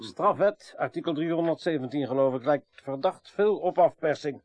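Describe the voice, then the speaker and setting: Wry male investigator, slightly high voice, informal, teasing, sceptical, radio play. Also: expressive, unhurried. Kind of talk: radio drama dialogue.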